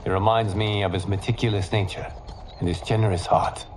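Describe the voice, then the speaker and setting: A low-ish, gentle voice of a thoughtful god, played by a man, measured and earnest. Steady background noise and ambience sit under the lines. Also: natural voice. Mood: reflective. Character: humble thoughtful male god, leader. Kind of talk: video game cutscene acting. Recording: game audio with noisy ambience.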